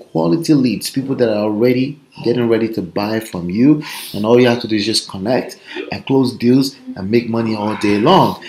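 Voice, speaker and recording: A man with a strong Nigerian accent gives an upbeat motivational talk straight into his laptop webcam. His voice sits at a middle pitch and is emphatic and conversational, often ending points with a quick "right?" The small home room around him adds a noticeable roomy echo. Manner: energetic, emphatic, conversational with frequent tag questions. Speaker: online business coach. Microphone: laptop webcam mic.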